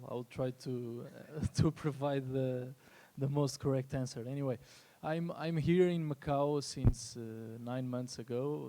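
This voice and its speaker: Gravelly, even-toned man on a panel. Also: mid-range voice. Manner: halting, formal and polite. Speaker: trade agency representative, panelist. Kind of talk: panel discussion answer. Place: live panel at a symposium.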